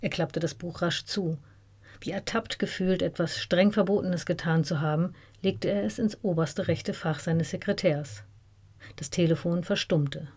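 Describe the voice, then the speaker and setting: A woman reading scripted narration in a calm, soft way. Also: lowish voice, a little slow, breathy edge.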